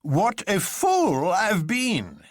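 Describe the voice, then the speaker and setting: Storybook colonel, acted by a male narrator: incredulous, impatient, exclaiming, high and swooping. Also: huge pitch swings, drawn-out words, dry recording, studio quality, exaggerated voice. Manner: exclaiming, indignant.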